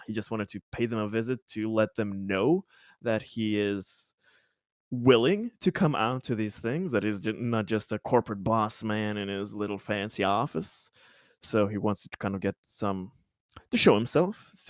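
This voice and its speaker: A man's voice: fairly low pitch, dry recording, clean studio sound. A man playing a tabletop game, casually explaining what his character thinks and does.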